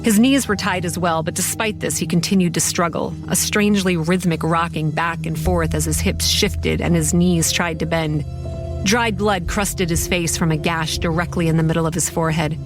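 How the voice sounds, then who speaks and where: A woman performs a sardonic, haunted young woman narrating her own horror story. Her voice is slightly low, confiding and wry. Music plays clearly behind her. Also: steady tone, normal speed, lightly acted. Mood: wry, tense.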